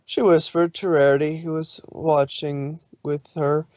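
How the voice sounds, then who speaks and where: A man's voice: fairly high pitch, level tone, measured pace, darkish tone, no echo. Dry, slightly dull-sounding male story reader. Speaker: fan fiction reader. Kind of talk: expressive story narration.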